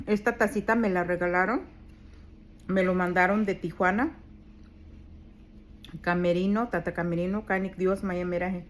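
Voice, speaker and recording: A woman's voice: lowish voice, fairly even intonation, moderate pace, pauses often, slight background noise. Older Purépecha-accented woman. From Michoacán, Mexico. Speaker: vlogger. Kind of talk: casual home vlog. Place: home in California.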